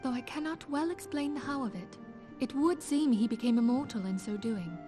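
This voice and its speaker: A woman's voice: high voice, over music, natural voice. Actress as a composed, formal noblewoman. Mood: earnest, reflective. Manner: formal, measured, articulate. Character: composed, scholarly noblewoman leader, earnest and thoughtful. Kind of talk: scripted video game dialogue, archaic formal English.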